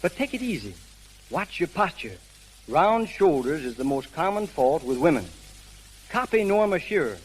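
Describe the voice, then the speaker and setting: A man giving scripted advice as a fitness trainer in 1930s radio style. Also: on the high side. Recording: noisy crackling 1930s radio recording.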